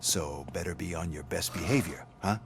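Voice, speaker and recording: A man's voice: deep voice, moderate pace, noisy background. An actor playing a contemptuous male corporate overseer in a game cutscene, mocking and dismissive. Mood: scornful. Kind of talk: video game cutscene acting.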